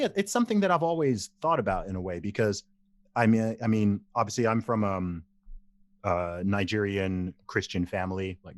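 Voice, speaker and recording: A man in his thirties from England, a podcast guest who tells stories animatedly, repeats phrases and laughs easily. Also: deep voice. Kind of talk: casual interview conversation.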